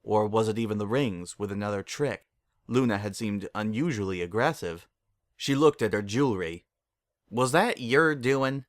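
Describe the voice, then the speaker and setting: A man's voice: on the low side, normal speed, a little mellow, no room sound, clean studio sound. A man narrating a story as a dramatic reading.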